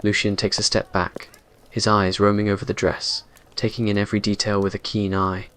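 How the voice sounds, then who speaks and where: A man's voice: on the low side, flat delivery, normal speed, a bit bright. A man reading scripted dramatic narration for a romantic ASMR roleplay in an earnest, brooding way. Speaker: story narrator.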